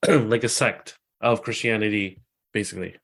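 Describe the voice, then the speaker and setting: A man's voice: lowish voice, steady tone, moderate pace, a bit bright. A man hosting a podcast, asking short questions and adding brief interjections. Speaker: podcast host.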